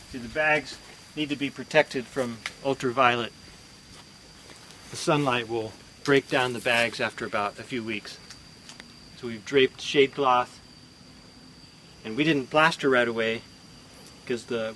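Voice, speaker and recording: A man's voice: medium pitch, bright, forceful tone. Older man explaining his homestead outdoors. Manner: plain, practical, explains step by step. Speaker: homesteader giving a property tour. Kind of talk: casual walking tour explanation. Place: outdoors on a rural homestead with rice fields around. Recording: outdoor recording with insects and rural noise.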